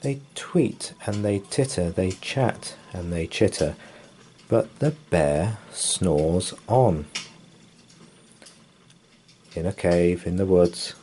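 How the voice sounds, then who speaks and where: A man with a low, mellow voice reads a children's bedtime picture book aloud. He takes it very slowly and lets his pitch swing up and down through the rhyming lines. A faint hiss of background noise sits under the reading. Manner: slow, sing-song storytelling. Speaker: story reader. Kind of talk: bedtime story read-aloud.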